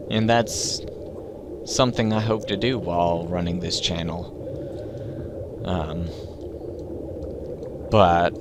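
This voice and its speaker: A man in his twenties with a deep, slightly crackly voice, talking slowly and thoughtfully in a hesitant personal monologue. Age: 20s. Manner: slow, thoughtful, hesitant with fillers. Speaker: gaming channel host. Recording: loud background noise.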